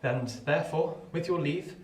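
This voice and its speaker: An actor playing a proud young gentleman in a radio play, speaking in a formal, terse, bristling way. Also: fairly high pitch, normal speed, close to an everyday voice. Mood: offended. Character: proud, stiffly polite young English gentleman. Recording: roomy, echoing sound.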